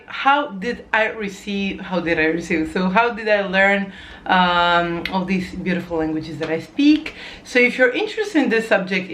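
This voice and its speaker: Brief: young Croatian-born woman, Croatian native, chatty vlogger, warm enthusiasm, mid-pitched voice, frequent restarts. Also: a little hiss or hum. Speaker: language vlogger. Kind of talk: casual talk to camera.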